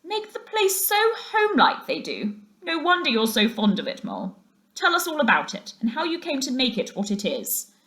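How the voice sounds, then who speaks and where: Woman putting on a hearty, chipper rat gentleman. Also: high-pitched, sing-song, normal speed, voice pushed up, full character voice. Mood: jovial. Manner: hearty, bustling, old-fashioned English phrasing. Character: cheery, capable, bossy male water rat, good-hearted friend.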